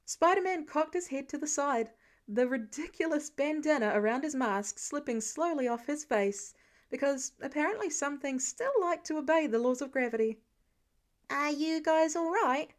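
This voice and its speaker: A woman narrates a piece of fan fiction. Her voice sits high and moves around a lot in pitch, giving the storytelling an expressive, animated feel. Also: normal speed. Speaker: podfic reader.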